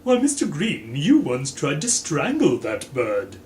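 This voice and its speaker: A man's voice: on the high side, wildly swooping pitch, measured pace, a little mellow. Man putting on a catty, haughty glamour woman. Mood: catty taunting. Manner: taunting, haughty. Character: vain, catty, bitter glamorous young woman. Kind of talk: storybook character reading. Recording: echoey room, faint background noise.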